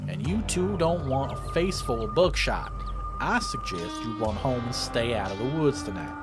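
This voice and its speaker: A man's voice: medium pitch, animated, normal speed, natural voice. A man voicing a stubborn, grumpy old Appalachian hunter with a rural Southern accent and a folksy, blustering way of talking, for a game. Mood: threatening. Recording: music playing behind the voice.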